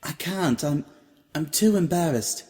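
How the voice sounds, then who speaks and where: A man's voice: fairly high pitch, lively intonation, darkish tone, in a large hall, voice pushed up. A man voicing a shy, flustered young mare musician who stammers and apologizes. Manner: stammering, halting.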